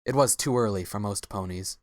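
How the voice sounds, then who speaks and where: Low-voiced male story narrator. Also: a little fast, no echo, clean studio sound.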